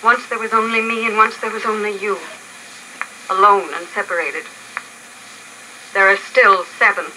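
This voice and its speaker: A woman voicing a calm, devoted wife in a vintage radio play. She speaks softly and reassuringly in a very bright tone, with a faint hiss from the old broadcast. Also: medium pitch, moderate pace, pauses often, rough recording. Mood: soothing. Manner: soft, reassuring. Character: calm, steadfast wife comforting her husband. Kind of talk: 1950s radio drama acting.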